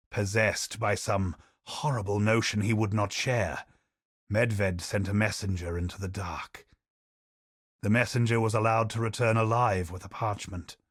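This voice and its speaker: An actor voicing a solemn male chronicler in a game, telling ancient history in a grave, measured way. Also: on the low side, no echo, studio quality. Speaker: game character narrator. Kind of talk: scripted lore narration.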